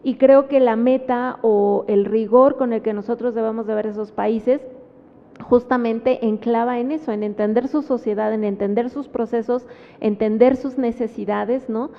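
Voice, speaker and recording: A woman with a Mexican Spanish accent gives an academic talk at a book presentation, pausing often and adding tag questions. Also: on the high side, fairly even intonation. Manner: thoughtful, with frequent fillers and tag questions. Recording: phone-like sound with long hall reverb.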